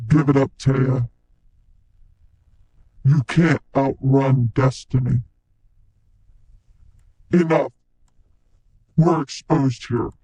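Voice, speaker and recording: A man's voice: fairly even intonation, drawn-out words, long pauses, dark tone, slightly rough, no echo, poor audio. A man voicing a grim, menacing cyborg game character in terse, ominous lines, processed with robotic voice effects. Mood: grim, threatening. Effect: robotic cyborg pitch and chorus effects.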